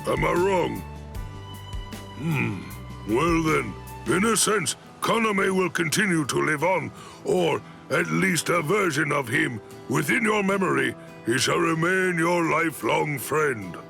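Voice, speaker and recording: An actor playing a stern yet kindly old military head captain in an anime game, speaking in a measured, formal, gravelly voice. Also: male voice, fairly high pitch, lively intonation, sharp tone, faint music behind, heavily acted. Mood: gently advising. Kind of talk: scripted anime game cutscene dialogue.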